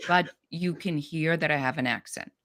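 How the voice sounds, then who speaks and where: A middle-aged woman with a German accent talks business in a blunt, straight-up manner. Her voice sits low for a woman. She speaks with lively conviction as an interview guest. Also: moderate pace. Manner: direct, no-nonsense, animated. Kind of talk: podcast interview.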